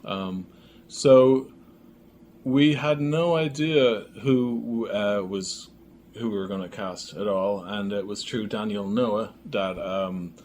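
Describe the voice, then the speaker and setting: A man in a casual interview, speaking as a creative guest in a reflective, halting way. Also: lowish voice, darkish tone. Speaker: audio drama creator and filmmaker, interview guest. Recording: background noise, room ambience.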